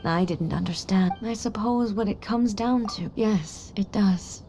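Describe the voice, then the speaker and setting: A woman voicing a composed, regal female deity ruler in a video game, speaking in a measured, formal way. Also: mid-range voice, a little hiss or hum, natural voice. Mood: serene. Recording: game audio with soft music.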